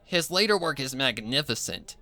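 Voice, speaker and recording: A man's voice: medium pitch, big pitch swings, moderate pace, pushed and bright, exaggerated voice. A man voicing a fussy classical musician pony in a story, earnest and opinionated.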